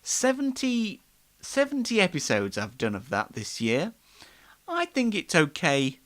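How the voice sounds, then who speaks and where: A man's voice: sits high, huge pitch swings, measured pace, a bit bright. A man hosts an online show in a casual, rambling, self-deprecating live monologue.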